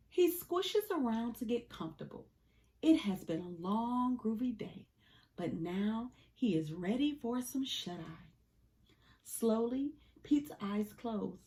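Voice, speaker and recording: A woman reading a children's picture book aloud in an animated, deliberate storytelling manner. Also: a little high. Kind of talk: expressive scripted read-aloud of a picture book.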